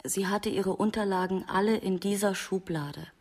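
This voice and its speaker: A woman's voice: mid-range voice, steady tone, moderate pace, darkish tone, close to an everyday voice. Woman playing a subdued, bereaved adult daughter. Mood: subdued, factual. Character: subdued adult daughter of murder victim, new mother. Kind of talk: radio crime drama acting.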